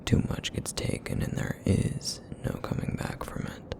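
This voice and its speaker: A man voicing a broody, feral essence eater in an ASMR roleplay, with a raspy, rumbling murmur and a weary, guarded, reluctant manner. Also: close to an everyday voice. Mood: brooding. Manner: brooding, reluctant, slow and terse.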